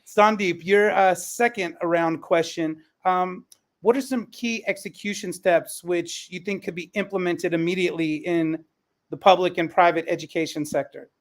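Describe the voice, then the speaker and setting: Male moderator and teacher, high voice, conversational delivery in a panel discussion. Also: steady tone, normal speed.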